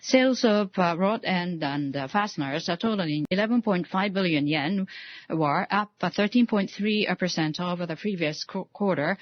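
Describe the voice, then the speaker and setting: A woman giving a formal financial results presentation, speaking haltingly with frequent hesitations. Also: on the low side. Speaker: conference call presenter. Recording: dull, roomy conference call audio.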